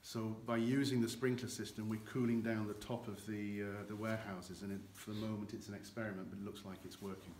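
Older guy explaining barrels on a tour, lowish voice, echoey warehouse with faint hiss. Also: flat delivery, quick pace, hardly any pauses, a little mellow. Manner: explanatory, gestures with both hands while talking. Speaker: cognac house guide. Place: large dim barrel warehouse with earthen floor.

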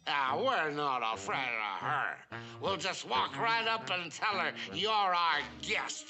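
A man's voice: a little high, animated, slow pace. An actor playing a friendly, tipsy middle-aged husband in a comic cartoon, slurring and stumbling over his words. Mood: jolly, chummy. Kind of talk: 1960s comic cartoon acting. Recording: old cartoon soundtrack with music behind.

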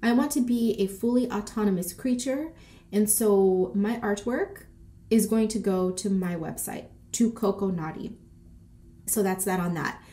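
A woman in her thirties chatting casually in a sassy vlog style, congested and a bit short of breath from a cold. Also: medium pitch. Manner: sassy, animated, peppered with slang and swearing. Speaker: boutique owner and vlogger. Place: small decorated room indoors.

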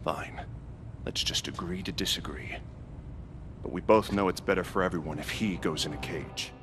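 An actor playing a stern, protective American older brother in a video game, earnest and stubborn. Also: male voice, low-pitched, normal speed, natural voice. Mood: stern, reproving.

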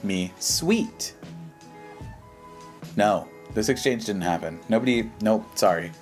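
Male YouTube host, American, low-pitched, casual and dismissive with slang, over background music. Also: lively intonation, slow pace. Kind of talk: casual reaction commentary, reading posts aloud.

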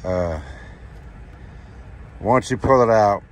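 A man's voice: lowish voice, slow pace. A middle-aged American man giving a casual, plain-spoken how-to vlog from inside a truck cab. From United States. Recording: noisy truck cab, loud vehicle ambience.

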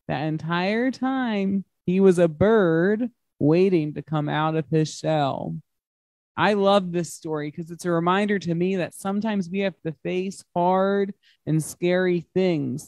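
A man reads a children's story aloud at an unhurried pace. His phrasing is simple and emphatic, made for young listeners, and the sound is dry with little room echo. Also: sits high, darkish tone. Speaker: guest reader at children's story time. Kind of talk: expressive picture-book read-aloud to children. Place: large glass-walled library room.

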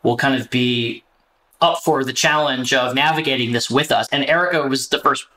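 A man's voice: medium pitch, moderate pace, pushed and bright. A man speaking casually as an interview guest about his work. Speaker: theater director of new artists, guest.

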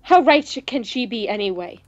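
Female gaming co-host, highish pitch, dramatic read-aloud style, slightly lo-fi mic. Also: animated, brisk. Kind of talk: reading visual novel dialogue aloud, casual game chat.

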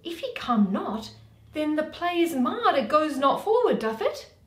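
A woman as doleful young Shakespearean workman, animated. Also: high voice, measured pace, voice pushed up. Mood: earnest, doleful. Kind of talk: Shakespeare read aloud as solo performance. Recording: roomy home recording.

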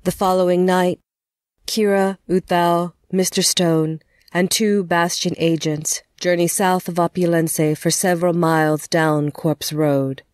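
A woman's voice: fairly low pitch, little pitch movement, unhurried, darkish tone, no room sound. A woman narrating an audio drama from a script as a storyteller.

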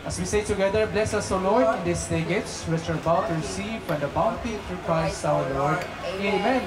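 A woman saying a grace prayer and a welcome loudly, announcing to a crowd. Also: on the low side, moderate pace. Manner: loud, announcing. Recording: echoey room heard from far off.

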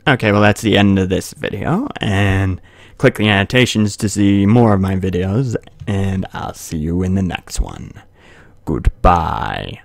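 A man's voice: low-pitched, animated, unhurried, gravelly. A man giving casual, improvised gameplay commentary, rambling in a self-deprecating way and often repeating himself. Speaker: gaming video creator. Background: video game sounds.

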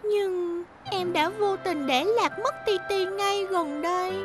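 A woman's voice: extremely high pitch, music in the background. A woman voicing a polite, sweet, anxious little girl in a Vietnamese cartoon dub. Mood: worried. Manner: polite, slow.

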